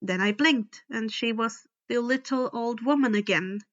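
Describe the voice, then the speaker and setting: A woman reading first-person fiction aloud in a deliberate, dramatic storytelling style. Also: on the high side, fairly bright tone, dull audio. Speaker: fan fiction audiobook reader. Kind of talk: expressive first-person scripted narration.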